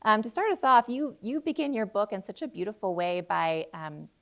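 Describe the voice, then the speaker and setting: A woman with a gravelly edge to her voice hosts an onstage interview. She speaks warmly but haltingly, filling pauses with ums and uhs as she sets up her questions. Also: medium pitch. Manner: warm, hesitant, many ums and uhs. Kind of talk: onstage interview, reading a book passage aloud. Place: seminary event room before a live audience.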